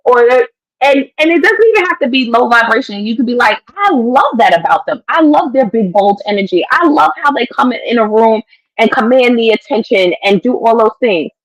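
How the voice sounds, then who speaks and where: A woman podcast co-host with a raspy voice, talking in an expressive, emphatic way in casual chat. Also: on the high side, moderate pace, rough recording.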